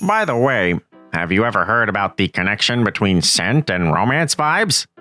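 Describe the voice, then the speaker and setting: A man's voice: on the low side, sing-song, normal speed, bright, forceful tone, soft background music, pitched below the performer's own voice. A man voicing a laid-back, slangy, know-it-all nerdy teenage boy, sounding a bit distant from the mic.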